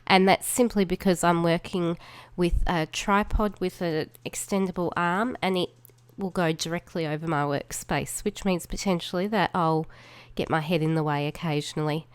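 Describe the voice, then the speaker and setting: A woman's voice: on the low side, clear, resonant tone, no room sound. A woman with an Australian accent, a little snuffly from a cold, chatting through a crafting voiceover with plenty of ums and trailing asides. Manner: chatty, relaxed, many fillers and trailing asides. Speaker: crafting channel host.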